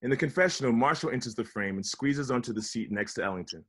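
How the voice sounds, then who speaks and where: A man's voice: mid-range voice, lively intonation, quick pace. A man reading stage directions aloud as the narrator of a scripted table read.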